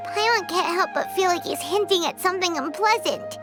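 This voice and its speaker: A woman's voice: very high-pitched, a little fast. A woman voicing a tiny, childlike, worried fairy companion in a video game. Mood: uneasy. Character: tiny childlike floating fairy companion, female, worried, talks in third person. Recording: flute music behind the voice.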